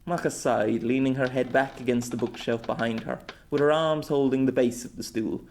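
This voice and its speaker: A man's voice: medium pitch, fairly even intonation, moderate pace, creaky, a little mellow, slight background noise. A man reading scripted story narration as an amateur audiobook narrator.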